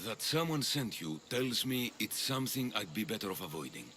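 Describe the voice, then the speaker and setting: An actor playing a wary, gruff Athenian citizen with a defensive, grudging manner in a video game. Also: male voice, fairly low pitch, big pitch swings, brisk, bright, forceful tone. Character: wary, gruff, indebted Athenian citizen, stubborn but resigned. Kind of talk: video game character acting. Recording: game dialogue over music.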